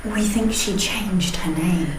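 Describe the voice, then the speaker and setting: An actress playing a subdued, troubled wife who pleads quietly in a radio drama. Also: female voice, mid-range voice, takes it slowly, slight background noise, natural voice. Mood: subdued, pained.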